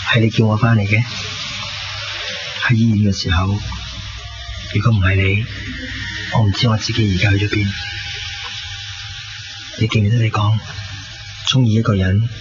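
An actor with a Hong Kong Cantonese accent playing a gentle, devoted young man in love in a 1990s radio drama. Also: lowish voice, natural voice. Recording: dull lo-fi radio recording, loud music.

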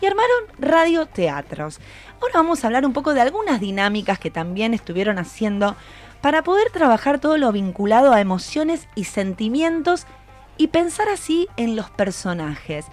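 A woman radio host speaks with a Rioplatense Argentine accent in a somewhat high voice. She interviews conversationally, prompting with questions, while music plays faintly behind her. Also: very animated, moderate pace.

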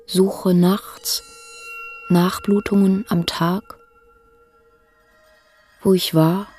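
A woman reciting sparse poetic fragments in a radio play, with pensive, measured, deliberate phrasing. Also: fairly low pitch, little pitch movement, warm tone, soft background music. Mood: contemplative.

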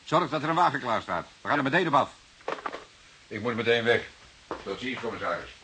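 An actor playing a calm, stubborn, dryly ironic police detective in a radio drama, speaking in a measured way. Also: male voice, mid-range voice, background noise, close to an everyday voice. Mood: brisk, formal. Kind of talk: Dutch radio drama acting.

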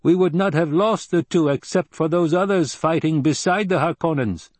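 A man's voice: on the high side, moderate pace, no echo, voice pushed up, lightly acted. A man voicing a proud male desert warrior in an audiobook, blunt and matter-of-fact, eager about battle. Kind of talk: audiobook character dialogue.

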